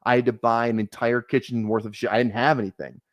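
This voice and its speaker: A man's voice: medium pitch, level tone, quick pace, dry recording. Rambling young man on a livestream. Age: 20s. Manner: rambling, laid-back, swears often, laughs at himself. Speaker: fantasy football streamer. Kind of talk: casual livestream chat. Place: small apartment room.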